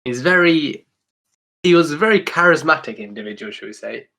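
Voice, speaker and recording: A man's voice: a little high, lively intonation, a little fast, gravelly, pushed and bright. A man co-hosting a sports podcast, chatting casually and offering his opinions. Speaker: podcast co-host.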